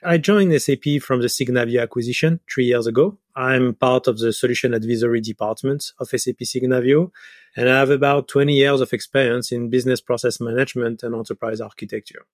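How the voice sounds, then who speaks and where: A man's voice: medium pitch, fairly even intonation, fast, no echo, studio quality. A middle-aged man with a French accent giving explanatory answers as a guest in a conversational interview. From France. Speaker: podcast guest, business software advisor.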